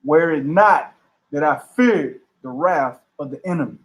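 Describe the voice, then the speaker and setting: A man with a slightly high voice reads verses for the group. His reading is careful and measured, taking each phrase at an even pace. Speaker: panel member reading scripture.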